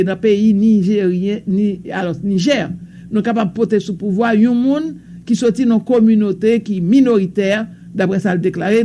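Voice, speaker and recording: A man's voice: high-pitched, a little mellow, slight background noise. A man with a Haitian accent reading radio news in a loose, hesitant style. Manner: reads bulletins in a loose mix of French and Creole, with hesitations. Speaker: news presenter.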